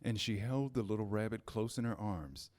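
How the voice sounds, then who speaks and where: A man's voice: lowish voice, normal speed, a little mellow. A man reading scripted storybook narration in a staged reader's theater style.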